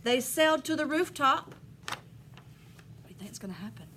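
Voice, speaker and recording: A woman with a high, bright voice reads a picture book aloud to children. Her delivery swings widely in pitch and she often turns to ask her listeners questions. There is some noise in the background. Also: normal speed. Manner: animated, asks listeners questions. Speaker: elementary school teacher reading aloud. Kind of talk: storybook read-aloud to children.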